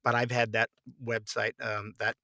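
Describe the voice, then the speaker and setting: A man's voice: mid-range voice, little pitch movement, moderate pace, pushed and bright, no room sound, studio quality. A man chatting casually as a podcast guest, with a lighthearted, folksy and jokey manner. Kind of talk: casual chat.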